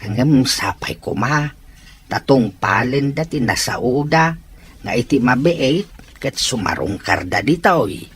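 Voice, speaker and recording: A man playing a gentle, doting elderly grandfather in an audio drama, speaking deliberately. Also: mid-range voice, animated, slight background noise. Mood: wistful, resigned. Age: older. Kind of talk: Ilocano audio drama dialogue.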